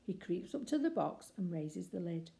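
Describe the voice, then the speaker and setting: A woman reading a children's story aloud expressively, with deliberate phrasing and emphatic pauses. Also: lowish voice, sing-song, creaky, dark tone.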